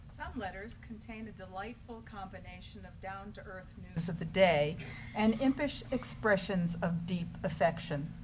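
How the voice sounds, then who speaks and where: A woman's voice: medium pitch, a little slow. A woman reading a scripted introduction as a narrator. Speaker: narrator of a readers theater. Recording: clear background noise, live room ambience.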